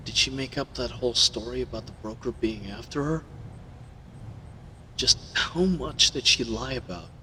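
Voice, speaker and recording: A young werewolf hero, acted by a man for an audio roleplay, speaks in a gruff, brooding way. He is a defiant, wounded captive. The voice has a forceful edge at a middling pitch, with faint noise behind it. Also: normal speed, in a large hall, poor audio. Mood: hurt, brooding.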